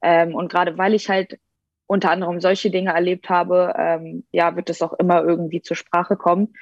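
A woman's voice: lowish voice, flat delivery, normal speed, dry recording. A young woman from Berlin, a rapper, joining an interview by video call and answering thoughtfully with many hesitations. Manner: thoughtful, many ähm fillers. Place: joining remotely. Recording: dull lo-fi video call audio.

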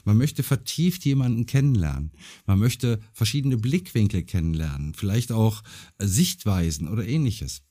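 Husky-voiced man hosting a podcast. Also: lowish voice, very animated, normal speed, dark tone. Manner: encouraging, with frequent backchannel agreement. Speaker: podcast host. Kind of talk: casual interview chat.

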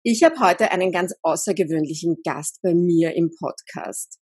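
A woman's voice: lowish voice, normal speed, darkish tone, breathy edge, dry recording. A woman who is a linguist hosting a podcast, asking her guest questions in an encouraging, enthusiastic way. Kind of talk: podcast interview.

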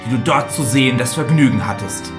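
An actor playing a cruel, stone-hearted knight and husband in a fairy tale, commanding, threatening and deliberate. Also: male voice, medium pitch, music in the background, lightly acted. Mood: menacing. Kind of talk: dramatised fairy tale acting.

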